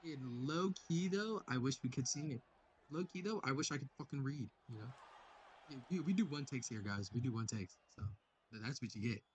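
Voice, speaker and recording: A man's voice: mid-range voice, lively intonation, fairly quick, slight background noise. A man doing a casual comic read-along commentary in a profane, slangy way.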